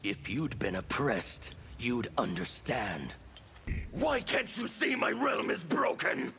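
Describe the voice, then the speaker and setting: Seething gravelly rebel zealot for a fighting game, slow and wildly swooping delivery. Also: male voice, medium pitch, huge pitch swings, pushed and bright, slight background noise, exaggerated voice. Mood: menacing, bitter. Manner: theatrical, menacing, declamatory. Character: fanatical scarred revolutionary warrior, seething, defiant, zealous. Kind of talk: video game character dialogue.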